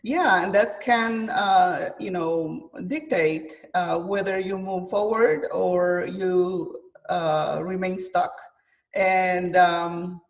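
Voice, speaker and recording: Woman from Guatemala, interview guest; halting, filler-heavy delivery, a bit off-mic on a video call. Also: fairly low pitch, recorded from a distance. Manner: hesitant, many fillers, thoughtful. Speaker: chef and author guest. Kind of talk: interview conversation.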